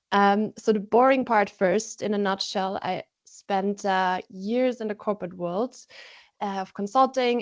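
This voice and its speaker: Upbeat woman with a German accent. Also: medium pitch. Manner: friendly, many fillers, upbeat. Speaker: webinar presenter, stress coach. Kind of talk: webinar self-introduction. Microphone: video call from home.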